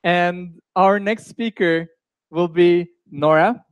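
A man's voice: high voice, slow, no echo. A young man hosting a live online coding course, chatty, with fillers and restarts. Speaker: course host.

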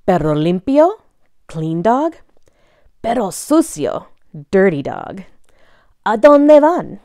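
A woman reading a children's picture book aloud in a bilingual read-aloud style, expressively and deliberately, phrase by phrase. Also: mid-range voice, big pitch swings, warm tone, no room sound, clean studio sound.

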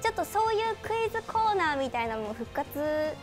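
A young adult woman co-hosting a game radio show, animated and giggly, with bubbly presenting and banter. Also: high-pitched, brisk, soft background music. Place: small bright room with a table, indoors.

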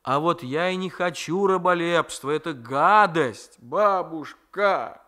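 A man reading a novel aloud as a stubborn, ironic gentleman who argues and teases. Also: fairly high pitch, slow pace. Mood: defiant. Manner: argumentative, teasing. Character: stubborn, ironic gentleman grandson standing his ground.

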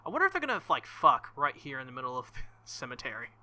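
A young man commenting casually on a visual novel, with joking, crude, excitable asides. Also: mid-range voice, fast talker. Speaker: let's-play host.

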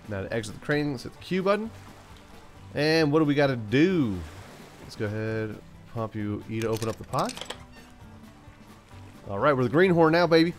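A man hosting a gaming channel, chatting playfully through a let's-play, stuffed up from a cold and now and then yelling out excited bursts. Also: medium pitch. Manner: playful, talks to himself, yells bursts like "send it". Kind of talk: casual let's-play commentary.

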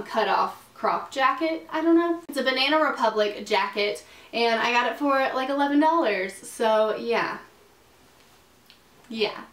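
A fairly high-voiced young woman talks through a casual haul video, upbeat and chatty with plenty of "like" and "um". She is still getting over a cold. Her voice rings a little in an echoey small bedroom. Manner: chatty, upbeat, lots of fillers and "like". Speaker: fashion vlogger. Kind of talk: casual haul vlog to camera.

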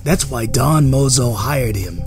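A man playing a gruff, bossy mob thug with a curt, dismissive manner in a comic book dub. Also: medium pitch, animated, a little slow, natural voice. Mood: irritated authority. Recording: loud music behind the voice.

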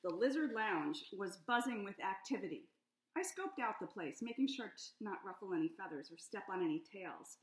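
A middle-aged woman reading her own children's picture book aloud at home, in a playful, expressive detective-story style. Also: mid-range voice, moderate pace. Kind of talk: storybook read-aloud narration. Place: home room with high ceiling. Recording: echoey room.

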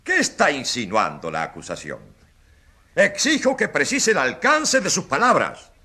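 An actor playing a self-assured, combative governor on trial in a radio drama, with a challenging, theatrical manner. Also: male voice, on the high side, huge pitch swings, normal speed, sharp tone, breathy edge, heavily acted. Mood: protesting. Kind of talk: courtroom radio drama acting.

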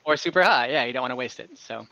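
A man's voice: medium pitch, fast, creaky, pushed and bright, no echo. A young man on a headset, acting as dungeon master and casually checking rules on a video call. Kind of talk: running an online tabletop game. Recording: dull, dry video-call audio.

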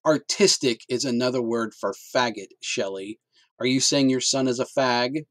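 A man's voice: fairly low pitch, moderate pace, no echo, natural voice. A man reading aloud and voicing a domineering, contemptuous middle-aged father with a harsh, commanding manner.

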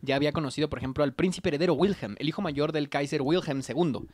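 A man's voice: fairly high pitch, fast, darkish tone, a little hiss or hum. A young man with a Mexican accent, reading history notes on a podcast and dropping slangy asides, recorded on a desk mic in a small studio. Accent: Mexican Spanish. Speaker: podcast host telling the story. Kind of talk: casual history podcast narration. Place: small home studio with colorful shelves. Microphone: desk mic on arm, headphones.

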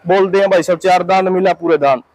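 Fast-talking farmer, earnest. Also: male voice, high voice, steady tone, a little mellow, dry recording, poor audio. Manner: insistent, earnest, addresses host respectfully. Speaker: dairy farm owner selling buffalo. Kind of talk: sales pitch in conversation.

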